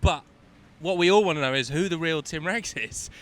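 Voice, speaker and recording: A man's voice: on the high side, normal speed, a bit bright. A man hosting a cycling podcast, asking upbeat, chatty questions in a roadside interview. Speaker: podcast host.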